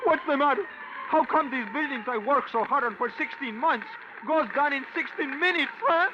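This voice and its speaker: A man playing an excitable, indignant little bricklayer with broken in vintage radio drama style. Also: very high voice, normal speed, highs cut off. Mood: indignant, frantic. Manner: agitated, pleading, broken English. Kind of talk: 1950s radio acting. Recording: noisy band-limited old radio recording.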